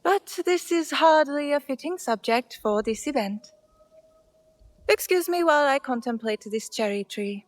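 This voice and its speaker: A refined and proper noblewoman of feudal Japan, poised and formal with a stern streak. Her voice is fairly high and recorded dry, in game cutscene style. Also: lively intonation, measured pace, no room sound. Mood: poised, polite. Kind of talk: video game cutscene acting.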